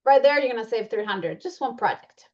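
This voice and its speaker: A woman's voice: on the high side, animated, a little fast, muffled recording. A woman with a Colombian accent presenting membership benefits in a chatty way, with many fillers and restarts. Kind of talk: presentation walking through slides.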